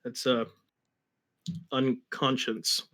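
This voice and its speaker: A man's voice: mid-range voice, little pitch movement, drawn-out words, fairly bright tone. A man giving a comic dramatic reading of a fan fiction story, with wry asides.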